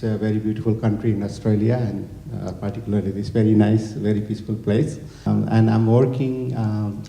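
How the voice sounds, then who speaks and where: A middle-aged man from Nepal giving a hesitant speech to a gathering, with many pauses and fillers. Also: fairly low pitch, creaky, dark tone. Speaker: honorary consul general and college owner. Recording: echoey hall.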